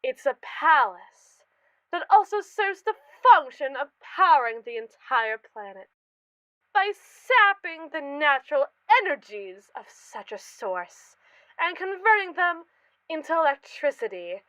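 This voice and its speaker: Woman playing a haughty, high-voiced space mogul. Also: big pitch swings, a little slow, a bit bright, no room sound, full character voice, low-quality recording. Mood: grandiose. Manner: pompous, theatrical, condescending. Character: haughty, callous female space mogul lording over colonists. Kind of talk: scripted ASMR roleplay monologue.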